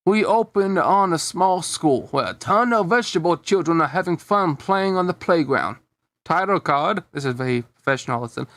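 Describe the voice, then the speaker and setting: A man's voice: a little high, a bit bright, no echo. A man reading a story aloud casually as a fan fiction narrator, dropping in his own offhand asides.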